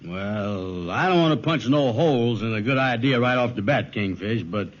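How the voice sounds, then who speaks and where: An actor playing a good-natured but skeptical sidekick with drawn-out, ungrammatical talk in an old radio sitcom. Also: male voice, mid-range voice, animated, close to an everyday voice. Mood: doubtful. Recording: muffled old radio recording.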